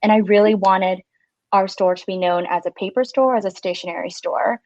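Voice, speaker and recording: A woman's voice: medium pitch, brisk, darkish tone, dry recording. A woman in her thirties from Singapore, a shop owner chatting as a podcast guest in a friendly, easygoing way with lots of "like" and "um". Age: 30s. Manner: chatty and friendly, frequent "like" and "um", laughing easily. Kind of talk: casual interview conversation. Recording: slightly muffled dry audio.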